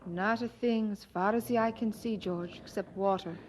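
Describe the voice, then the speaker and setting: An actress playing a devoted, bossy preacher's wife of the eighteenth century in an audio drama, with expressive old-fashioned diction. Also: female voice, medium pitch, normal speed, slight background noise, lightly acted. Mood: anxious.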